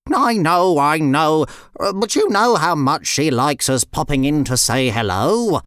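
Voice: medium pitch, expressive, a little slow, fairly bright tone, clear, resonant tone, no room sound, clean studio sound. A storyteller voicing a polite, easygoing, hesitant male chicken in a children's story. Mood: mild, apologetic.

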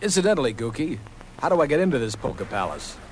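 An actor playing a wry private eye, narrating a hard-boiled detective parody with deadpan setups on an old comedy record. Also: male voice, mid-range voice, fast, a little hiss or hum, lightly acted. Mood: wry, matter-of-fact. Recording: old comedy record, loud brassy music.

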